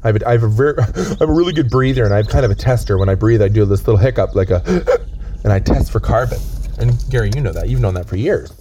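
Man, hoarse with a cold, rushed rambling deadpan comic host, low dark voice. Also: a little hiss or hum. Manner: rambling, stammering, earnest. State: raspy from a chest cold. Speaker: comedy co-host. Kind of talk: deadpan improvised comedy chat. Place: outdoors on a lookout.

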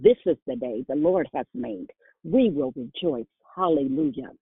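A woman's voice: sits low, sing-song, moderate pace, vocal fry, breathy edge, dry recording. A woman leading a spontaneous prayer on a phone prayer line, fervent and repetitive, with frequent filler sounds between her cries. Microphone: telephone. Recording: dry tinny phone line.

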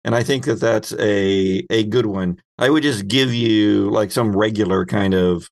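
A man's voice: lowish voice, unhurried, darkish tone. A man speaking casually as the game master of a tabletop roleplaying game, narrating and explaining rules.

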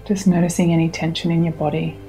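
A woman with a lowish voice guides a meditation slowly and soothingly. Her delivery is very even, with long pauses between gentle instructions, and music plays clearly behind her. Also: low-quality recording. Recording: music under the voice.

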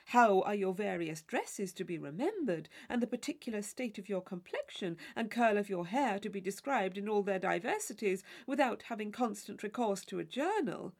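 A woman voicing a witty, teasing gentleman in his mid-twenties, fluent and mock-formal, in a classic novel reading. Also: medium pitch, animated, moderate pace, hardly any pauses. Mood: playful, mock-serious. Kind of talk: classic novel audiobook character voice.

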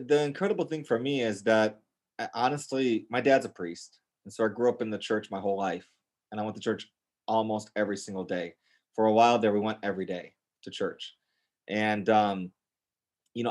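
An American man speaking as a podcast guest in a casual interview, informal and enthusiastic, often repeating words for emphasis. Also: on the low side. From United States, north of Chicago. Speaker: podcast guest, Greek Orthodox priest.

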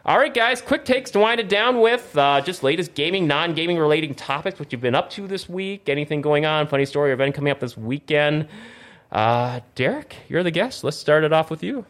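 A man's voice: on the high side, animated, brisk, fairly bright tone. A man hosting a casual gaming talk show, reading news and plugs in a rambling way and often stumbling over words and correcting himself. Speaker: podcast host. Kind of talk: casual video game talk show with plugs and sponsor reads.

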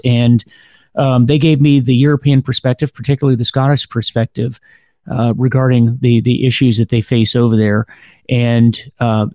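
A man's voice: mid-range voice, a little mellow, no echo. An American man hosting a podcast interview. He rambles a little, with lots of fillers and restarts. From United States. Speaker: podcast host. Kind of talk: casual interview.

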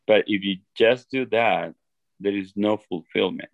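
A deep, gravelly-voiced man from Ecuador with an Ecuadorian Spanish accent, recorded close and dry. He is middle-aged and talks through his story in an earnest, halting way, often restarting his sentences. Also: no echo, lo-fi sound. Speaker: interview guest. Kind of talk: reflective personal storytelling.

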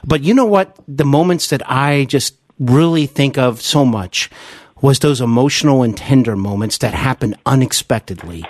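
Animated male podcast interviewer, very dry sound. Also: medium pitch, dry recording. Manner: deliberate, effusive, builds up to questions. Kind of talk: earnest long-form interview.

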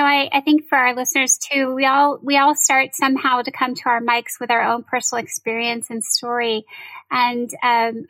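A woman's voice: fairly high pitch, sharp tone, poor audio. A woman hosting a podcast and interviewing a guest in a friendly, encouraging way. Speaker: podcast host.

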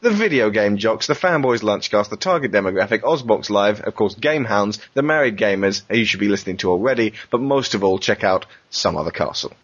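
A man with a husky voice hosting a podcast, delivering plugs and a sign-off. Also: fairly low pitch, animated, brisk, hardly any pauses, fairly bright tone, dry recording, muffled recording. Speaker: podcast host.

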